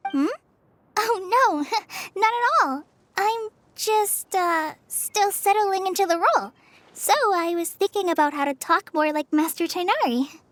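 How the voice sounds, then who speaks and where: A woman's voice: very high voice, measured pace, fairly bright tone, dry recording. A woman voicing an earnest, shy teenage girl apprentice guide in a game, polite and hesitant. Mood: nervous, earnest. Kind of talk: game voice acting.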